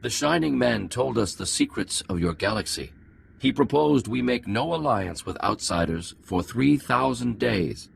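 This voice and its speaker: Solemn alien ruler for a game, cryptic and stately, gravel-throated, lively pitch movement. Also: male voice, unhurried, full character voice. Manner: stately, oracular short pronouncements. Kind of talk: dramatic video game acting.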